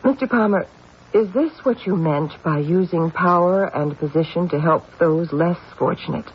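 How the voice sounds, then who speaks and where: A man as an insistent high-voiced radio detective. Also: normal speed, lightly acted. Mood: politely probing. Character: stubborn, intuitive police detective, politely needling suspects. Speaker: actor. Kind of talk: old-time radio mystery acting. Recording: dull, hissy old radio recording.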